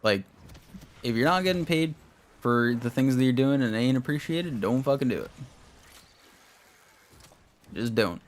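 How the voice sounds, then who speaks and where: A man's voice: medium pitch, lively intonation, measured pace, pauses often. Chatty young man streaming a game. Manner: rambling, talks to chat while playing. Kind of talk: casual live-stream chat with viewers.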